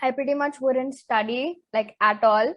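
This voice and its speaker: Teen girl co-host, slightly high voice, chatty and quick to get worked up. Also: lo-fi sound. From Zambia. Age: teens. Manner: chatty, animated, says "like" a lot. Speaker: co-host, interviewee. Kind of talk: casual chat podcast.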